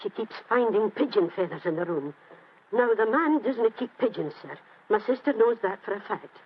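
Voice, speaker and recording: A woman's voice: medium pitch, animated, moderate pace, slightly rough. An actress playing a chatty, deferential old British landlady, apologetic and a little flustered, in a 1940s radio drama. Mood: earnest. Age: older. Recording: muffled old-time radio broadcast.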